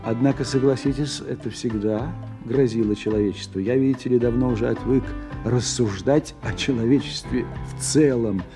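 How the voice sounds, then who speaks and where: A man's voice: mid-range voice, normal speed, natural voice. An actor playing an aloof, sceptical male scientist with a dry, precise, detached manner in a radio drama. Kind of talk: radio play dialogue. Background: music.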